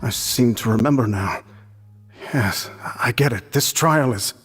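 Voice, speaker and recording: Casting: polite, restrained attendant in a video game, raspy mid-range voice, echoing space. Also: male voice, medium pitch, unhurried. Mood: pensive. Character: calm, deferential male attendant. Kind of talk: dramatic video game voice acting. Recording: reverberant hall, music underneath.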